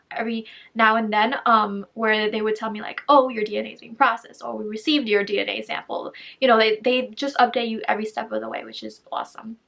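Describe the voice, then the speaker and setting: A young woman from Puerto Rico, talking in a chatty, upbeat way as she shares news with her viewers, with fillers and quick self-corrections. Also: fairly high pitch, fairly bright tone. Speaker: vlogger. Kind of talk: casual vlog.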